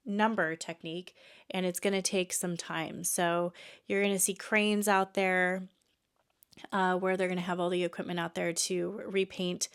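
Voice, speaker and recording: A woman's voice: on the low side, clean tone. A woman in her thirties from Texas, congested from allergies, hosting a podcast in a chatty way with frequent fillers and restarts. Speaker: podcast host. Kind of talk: casual chat.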